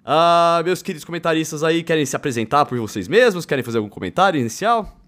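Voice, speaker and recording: A man's voice: fairly high pitch, animated, fast, a bit bright. A Brazilian man hosting a casual football podcast in a jokey way, with some theatrical flair. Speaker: podcast host.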